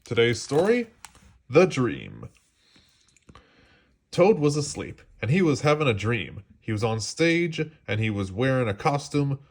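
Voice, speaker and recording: A man reads a children's storybook aloud at an unhurried pace. His mid-pitched voice rises and falls with lively expression. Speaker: storybook reader.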